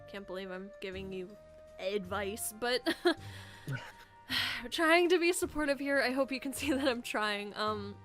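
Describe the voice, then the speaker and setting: A woman playing a supportive young female friend in a casual improvised roleplay, speaking hesitantly with pauses over background music. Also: fairly high pitch, lively intonation. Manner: hesitant, sighing, gentle pauses.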